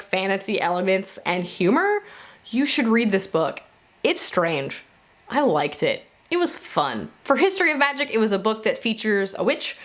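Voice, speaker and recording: A woman giving a casual, conversational book review as a vlogger, speaking informally and frankly. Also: mid-range voice.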